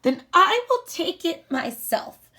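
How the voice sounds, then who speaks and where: A woman's voice: very high voice, big pitch swings, slow pace, sharp tone, voice pushed up, exaggerated voice. A woman voicing a hard-working, determined little red hen in a storybook, with emphatic, singsong calls. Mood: resolute.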